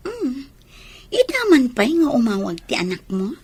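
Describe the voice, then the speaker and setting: A woman's voice: sits high, huge pitch swings, unhurried, darkish tone, slightly rough, no room sound, heavily acted. A woman with an Ilocano accent playing a scheming woman in a radio drama. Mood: pleading. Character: scheming woman plotting against a rival.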